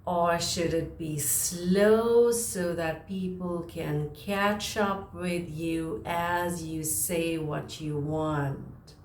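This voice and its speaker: A woman presenting a spoken lesson to viewers as a communication coach, emphatic and deliberately varying her speed to stress points. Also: on the low side, steady tone, a little hiss or hum. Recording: roomy, echoing room sound.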